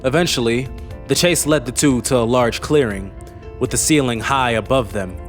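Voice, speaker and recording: A man's voice: medium pitch, normal speed. A man reading scripted fantasy narration as an audiobook narrator. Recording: faint music under the voice.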